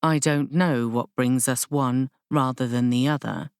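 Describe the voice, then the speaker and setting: A woman reading an audiobook, voicing a gentle but unwavering woman spiritual guide who explains patiently and rather formally. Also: a bass voice, steady tone, a little slow, clear, resonant tone, dry recording, studio quality. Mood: serene. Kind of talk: audiobook character dialogue.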